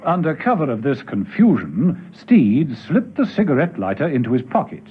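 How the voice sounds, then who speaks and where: A man's voice: a little high, wildly swooping pitch, moderate pace, slight background noise. A man narrating a scripted radio drama as its storyteller. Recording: dull old radio recording with music.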